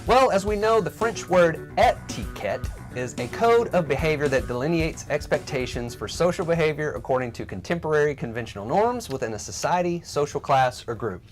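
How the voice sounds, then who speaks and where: A man co-hosting a workplace tech show, giving measured, scripted explanations with light, dry banter. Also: on the high side, lively intonation, faint music behind.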